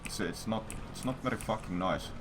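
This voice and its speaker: A man's voice: fairly low pitch, fairly quick, noisy background. A man livestreaming, telling a story in casual, rambling chat with blunt, repetitive, profanity-heavy talk.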